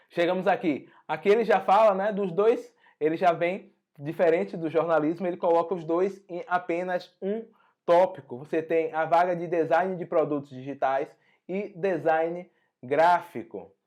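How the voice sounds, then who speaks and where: A hoarse man with a Brazilian Portuguese accent, teaching an online exam-prep lesson in a conversational way full of tag questions. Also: on the high side, fairly even intonation, unhurried, a little mellow. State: hoarse after a flu. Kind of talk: online lesson walking through an exam notice.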